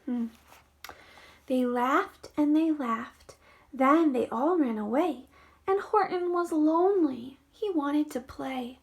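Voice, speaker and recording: High-pitched woman reading a children's bedtime story. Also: slow. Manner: animated, dramatic, drops into hushed whispered asides. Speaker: first grade teacher.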